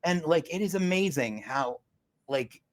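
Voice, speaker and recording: A woman's voice: low voice, lively intonation, unhurried. Chatty rambling woman in her forties. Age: 40s. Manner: rambling, chatty, many fillers and restarts. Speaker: host. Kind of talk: casual interview chat.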